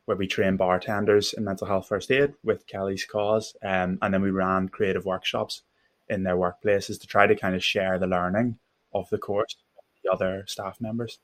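A man's voice: low-pitched, level tone, moderate pace. A man from Northern Ireland with a Belfast accent, speaking thoughtfully and a little hesitantly as a guest in a conversational interview. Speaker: podcast guest, mental health first aid instructor.

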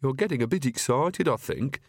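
A man's voice: medium pitch, animated, moderate pace, dry recording, clean studio sound. A man voicing Agnes, a mild, sensible young woman, in an audiobook. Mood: reasonable.

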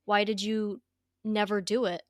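A woman co-hosting a casual faith podcast, earnest and reflective, her voice a little hoarse and worn. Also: medium pitch, level tone, measured pace, no echo, clean studio sound. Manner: earnest, reflective, with frequent restarts and laughs. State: losing her voice, slightly hoarse. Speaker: podcast co-host.

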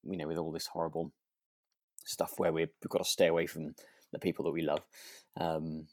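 A man co-hosting a podcast in casual chat, speaking earnestly in a hesitant, halting way. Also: extremely low pitch, little pitch movement, darkish tone, no room sound, studio quality. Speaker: podcast co-host. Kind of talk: relaxed casual chat about a radio drama.

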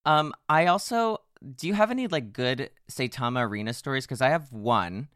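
A man with a hoarse voice co-hosting a podcast, chatting playfully and poking fun at himself. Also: medium pitch, lively intonation, a bit bright, no room sound, studio quality. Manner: playful, self-mocking, quick asides. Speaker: podcast co-host. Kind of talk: casual chat.

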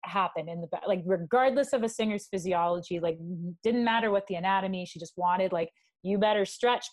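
A young adult woman from Canada with a low speaking voice, chatting loosely as a podcast guest and leaning on filler words. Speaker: guest singer. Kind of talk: casual podcast chat.

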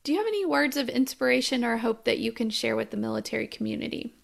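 Female co-host, raised pitch with a heavy gravelly fry, reading prepared questions. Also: animated, fast. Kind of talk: scripted interview questions.

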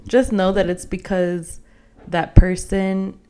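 A woman's voice: mid-range voice, steady tone, slow pace, dark tone, clean tone. A woman co-hosting a podcast in casual personal chat, thinking aloud in a hesitant, conversational way. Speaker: podcast co-host.

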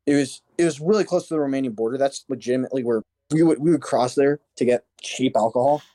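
A man's voice: medium pitch, fast, warm tone, no echo. A man from Serbia chatting casually and jokingly as a podcast co-host, with crude, sweary talk. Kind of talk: casual joking group chat.

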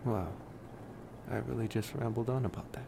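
A man playing a laid-back, wry college-age vampire in an intimate ASMR roleplay, rambling casually and hesitantly with sighs and pauses. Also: mid-range voice, fairly even intonation, gravelly, noisy background, close to an everyday voice.

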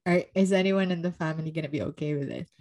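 A woman with a slightly low voice chats casually about books as a co-host. She is blunt and likes to tease, and laughter often slips into her speech. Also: rapid-fire, a little mellow. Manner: teasing, blunt; often laughs while talking. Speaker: book podcast co-host. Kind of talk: casual book discussion.

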